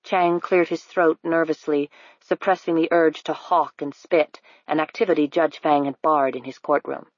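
A woman narrating an audiobook in an even, unhurried storytelling manner. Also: deep voice, no room sound, highs cut off. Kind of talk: scripted narration.

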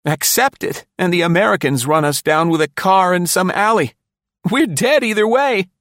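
A jittery, desperate middle-aged man, his voice pushed up high and loud and thin. He pleads and frets on the edge of a sob, every line thick with dread. Dry, close storybook sound. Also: moderate pace, no room sound. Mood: frantic. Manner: frantic, pleading, close to sobbing.

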